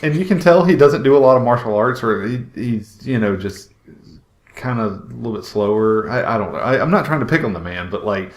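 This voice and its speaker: A man's voice: mid-range voice, animated, brisk. A young adult man co-hosting a podcast in casual chat, rambling, blunt and sometimes sweary. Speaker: podcast co-host. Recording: roomy, echoey voice recording.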